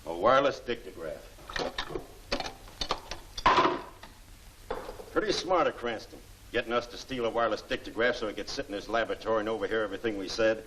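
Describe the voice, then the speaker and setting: An actor playing a shrewd, bossy gangster lieutenant in a 1940s film serial, curt and hard-nosed. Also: male voice, fairly low pitch, rapid-fire, natural voice. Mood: suspicious. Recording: noisy old film soundtrack, distant mic.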